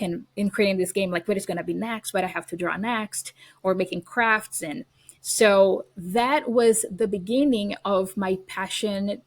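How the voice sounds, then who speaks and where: A woman with a Brazilian accent, speaking English as her second language, talks about her background as an interview guest. Her voice sits at a mid pitch, and she tells her stories earnestly, pausing on fillers and restarting her sentences. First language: Portuguese. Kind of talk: casual interview.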